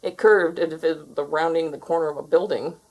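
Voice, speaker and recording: A woman reading a children's story aloud as an expressive narrator. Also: sits low, a little fast, low-quality recording. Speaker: storybook reader.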